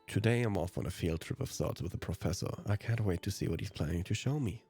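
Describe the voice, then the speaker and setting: A man's voice: low-pitched, fast, dark tone, slightly rough, soft background music. A man whose native language is German, hosting a let's play and reading visual novel narration aloud.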